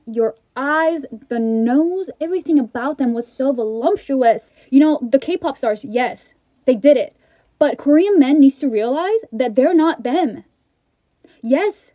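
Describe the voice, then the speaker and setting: A woman's voice: high-pitched, no room sound. A woman from California with an American accent, reacting casually in an incredulous, emphatic way and repeating herself. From California, USA. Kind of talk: casual direct-to-camera reaction. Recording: dry, tinny phone-like audio.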